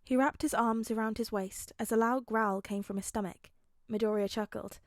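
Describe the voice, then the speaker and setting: Woman reading an audiobook story, slightly high voice, really dry close sound. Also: fairly even intonation, moderate pace, no room sound. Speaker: audiobook reader. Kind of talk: scripted story narration.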